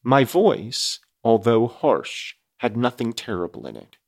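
A man voicing an earnest, anguished outcast creature in a solemn, formal, measured storybook reading. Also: medium pitch, big pitch swings, creaky, darkish tone, heavily acted. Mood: wistful, solemn.

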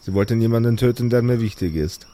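A man playing a calm, polite former villain seeking peace in an improvised audio drama. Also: medium pitch, natural voice. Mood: conciliatory, regretful. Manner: polite, measured, conciliatory. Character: calm, courteous repentant male former villain. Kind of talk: improvised German audio drama role-play.

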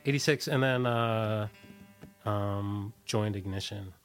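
A man's voice: fairly low pitch, takes it slowly, faint music behind. A middle-aged American man chatting casually as an interview guest, in a loose, hesitant, wandering way. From Washington, D.C., United States. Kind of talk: casual chat. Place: radio studio.

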